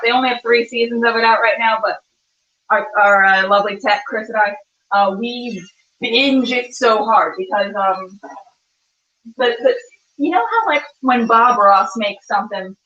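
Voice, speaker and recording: A woman with a fairly high voice talks to camera in a casual, rambling, playful way, like a cooking host who chats to herself as she works. She sounds some distance from the microphone. The room is clearly echoey and the sound a little dull. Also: fairly bright tone.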